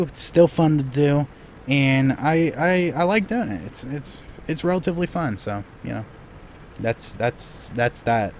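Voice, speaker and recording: A man's voice: medium pitch, a little hiss or hum. A young man, Korean by birth, hosting a live gameplay Q&A and answering viewer questions in a rambling way, with lots of restarts. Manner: rambling, many "you know"s and restarts. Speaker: gaming channel host.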